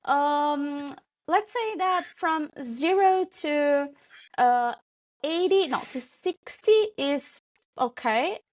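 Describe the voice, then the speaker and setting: A woman's voice: sits high, expressive. A woman, a French teacher answering interview questions thoughtfully with frequent ums. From Brazil. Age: 20s. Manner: unhurried, thoughtful, many ums.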